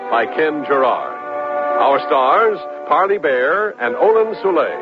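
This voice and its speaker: A man announcing a radio program from a script, polished and emphatic. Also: fairly high pitch. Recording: muffled radio recording with music.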